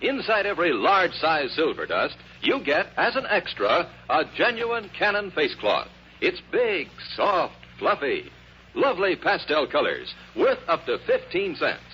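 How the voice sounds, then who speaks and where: A man delivers a scripted 1950s radio commercial. His high voice swings extremely widely in pitch, heard through a dull, faintly noisy broadcast. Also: wildly swooping pitch, a little slow.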